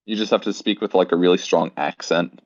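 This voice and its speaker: Deep-voiced young man, wry asides. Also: steady tone, fairly quick, dry recording. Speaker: podcast co-host, student. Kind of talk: casual chat. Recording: dry, dull lo-fi mic.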